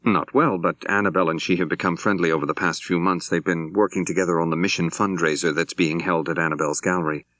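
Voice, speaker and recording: A man voicing a level-headed law professor turned amateur sleuth in an audiobook, with direct, measured questioning. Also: low-pitched, steady tone, fairly bright tone, no echo. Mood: probing, calm.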